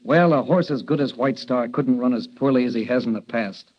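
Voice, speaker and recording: Young rookie cop hero, male actor, confident clipped delivery, mid pitch, muffled vintage radio drama. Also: a little fast, close to an everyday voice. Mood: intrigued, urgent. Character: earnest young rookie cop, secret crime fighter. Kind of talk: old radio drama acting. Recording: dull, noisy old radio recording.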